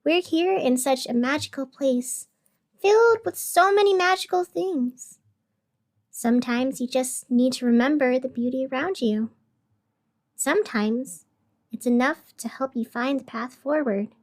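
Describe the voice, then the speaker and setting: Woman acting high-voiced, emotional female radio host. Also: unhurried. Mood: soothing, gentle. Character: female radio host, wounded, fiery, turning soothing on air. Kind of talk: audio drama acting.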